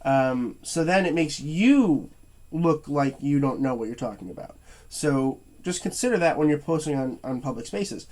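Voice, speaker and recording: A man's voice: on the high side, animated, a little mellow. Tired, stuffy young man who stutters. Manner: stuttering, halting, many fillers and restarts. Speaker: movie reviewer. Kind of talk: casual to-camera rant.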